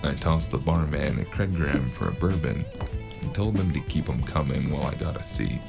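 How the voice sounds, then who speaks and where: Man as gravelly, very deep noir antihero. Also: moderate pace. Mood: hard-boiled, wry. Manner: deadpan, world-weary, dry. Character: weary, wry, hard-boiled cyberpunk hired gun narrating his story. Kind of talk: noir first-person narration and audio drama dialogue. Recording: guitar music behind the voice. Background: plucked guitar music.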